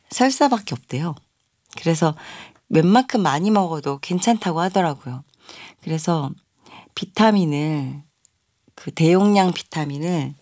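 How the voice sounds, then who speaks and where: A woman's voice: on the low side, a little mellow, dry recording, studio quality. A young woman hosting a radio music show, chatting casually and reading listener messages with friendly asides. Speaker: radio host.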